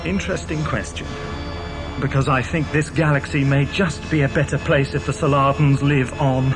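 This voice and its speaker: An intense, determined time traveller played by a male actor in a science fiction radio play. He speaks with theatrical anguish, and loud music runs behind every word. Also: mid-range voice, steady tone, normal speed, lightly acted. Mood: weary, defiant. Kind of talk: audio drama acting. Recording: loud music bed over dialogue.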